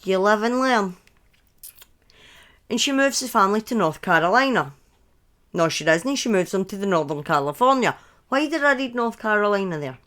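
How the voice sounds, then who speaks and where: A woman's voice: medium pitch, lively intonation, normal speed, pauses often, a bit bright. A woman in her thirties with a Scottish accent, telling true crime stories casually on a live stream, often breaking off into chatty asides and correcting herself. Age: 30s.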